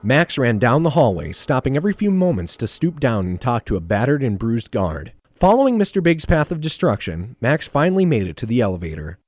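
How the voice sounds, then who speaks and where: A man's voice: mid-range voice, big pitch swings, fairly quick, few pauses, a little mellow, dry recording. A man narrating an audio drama in a scripted storytelling style.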